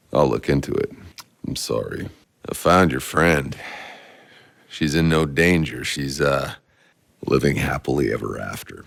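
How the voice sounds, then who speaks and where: A man playing a gruff, weary, sarcastic soldier as a video game protagonist, terse and hard-bitten with a deep, gravelly voice. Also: fairly bright tone, exaggerated voice. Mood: guarded. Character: gruff, weary, sarcastic male Outrider soldier on a hostile planet. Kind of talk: dramatic video game voice acting.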